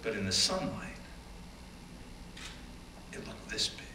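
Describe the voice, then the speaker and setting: A man giving a first-person dramatic reading of an oral history, measured and deliberate, often dropping to hushed phrases. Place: stage or event room. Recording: roomy, distant mic with faint background noise.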